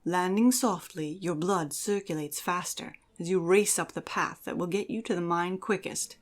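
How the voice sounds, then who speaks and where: A woman giving a measured, theatrical reading as narrator of a fantasy audio drama. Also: fairly low pitch. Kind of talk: dramatic second-person fantasy story narration.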